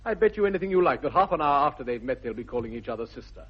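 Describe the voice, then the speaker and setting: A man acting an earnest, fussy young gentleman with an upper-class English accent in a 1960s radio comedy, emphatic and theatrical. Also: a little high, animated, racing, husky. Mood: brisk, defensive. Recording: muffled vintage radio recording.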